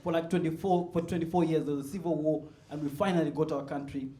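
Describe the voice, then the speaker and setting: A man works a stand-up set in a comedy club. His voice sits fairly high, and he keeps chuckling as he pushes toward loud punchlines. The sound is roomy, like a live club. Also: steady tone, fast talker. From South Sudan. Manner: laid-back, chuckles at his own jokes, builds to loud punchlines.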